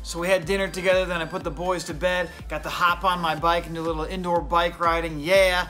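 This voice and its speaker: A man of forty, a youth pastor, narrating his day in a relaxed, chatty, self-deprecating way. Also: high-pitched, fairly quick, over music. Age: 40s. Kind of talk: casual vlog narration.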